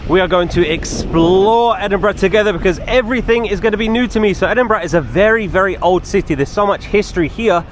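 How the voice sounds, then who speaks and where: A man's voice: high voice, fast talker, few pauses, a little hiss or hum. A man with an English accent talking casually and enthusiastically as a travel vlogger, leaning on emphatic words. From England. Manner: enthusiastic, repeats intensifiers. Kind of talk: casual walking travel vlog. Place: outdoors on city streets and in a park.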